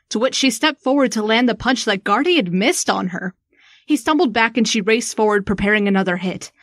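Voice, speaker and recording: A woman playing a shaken, sharp-tongued young woman in a first-person audio drama. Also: medium pitch, dry recording, studio quality, lightly acted.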